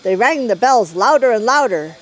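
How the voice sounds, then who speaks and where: Middle-aged woman, expressive storybook read-aloud. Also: a little high, normal speed. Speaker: author reading aloud.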